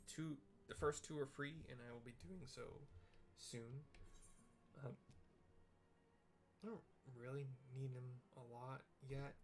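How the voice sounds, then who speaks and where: A man giving casual, hesitant and self-deprecating game commentary, rambling as he describes what is on screen. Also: mid-range voice. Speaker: video game streamer and narrator. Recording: clearly audible background noise.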